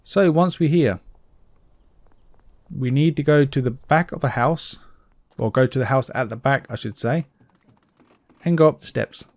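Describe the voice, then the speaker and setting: A man's voice: medium pitch, unhurried, long pauses, dry recording. A man giving a casual video game walkthrough, offhand, often correcting himself and slipping in joking asides. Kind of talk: casual gameplay walkthrough commentary.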